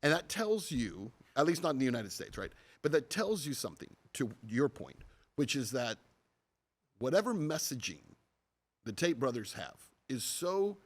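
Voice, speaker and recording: A man's voice: medium pitch, big pitch swings. Emphatic middle-aged male podcast host. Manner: measured, emphatic, rhetorical questions. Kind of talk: conversational interview commentary.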